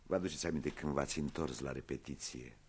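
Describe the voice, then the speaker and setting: An actor playing a shrewd police inspector in a radio play, measured, courteous and insinuating, with a gravelly, husky voice. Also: male voice, a bass voice, darkish tone, full character voice. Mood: calm, triumphant. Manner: measured, insinuating, theatrically polite.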